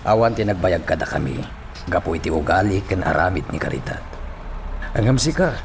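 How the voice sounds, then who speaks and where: A kindly older family friend from a radio drama. His low voice moves expressively as he urges, with faint noise behind him. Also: animated, a little fast, vocal fry. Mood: urging.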